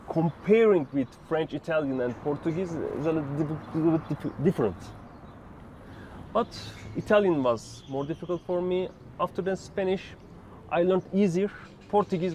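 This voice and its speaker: A young Turkish man with a Turkish accent, answering an interviewer's questions in loose, halting talk full of fillers. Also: fairly high pitch, warm tone, a little hiss or hum. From Turkey. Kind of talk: street interview.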